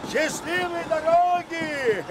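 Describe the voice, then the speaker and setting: An actor playing a sly, familiar country Cossack with folksy, colloquial speech in a radio drama. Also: male voice, very high-pitched, very slow, a crowd in the background, exaggerated voice. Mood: jovial, wheedling. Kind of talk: Soviet radio drama acting. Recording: slightly noisy vintage radio recording.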